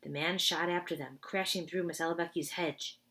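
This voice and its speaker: A woman's voice: on the low side, steady tone, a little fast, a bit bright. A woman reading a children's storybook aloud expressively, with informal asides to her listeners.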